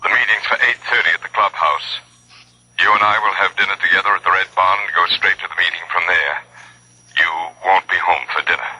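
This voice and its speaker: An actor playing a secretive, imperious club official on the telephone in a 1970s radio drama, speaking in clipped orders. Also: male voice, low-pitched, a little fast, pushed and bright, slightly rough, full character voice, low-quality recording. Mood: commanding, conspiratorial. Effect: telephone filter. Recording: thin telephone filter, faint hiss.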